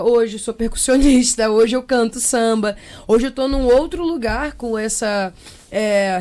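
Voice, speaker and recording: Casting: young Brazilian woman, slightly high voice, casual reflective interview talk, slangy and expressive. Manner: expressive, acts out imagined quotes, slangy. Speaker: interview guest, percussionist and samba singer.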